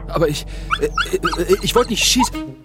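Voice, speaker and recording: Nervous, hesitant fellow. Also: male voice, high-pitched, huge pitch swings, moderate pace, loud music behind the voice. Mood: panicked. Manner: hesitant, stammering. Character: nervous, stammering, remorseful man in deep trouble. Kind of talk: audio drama acting.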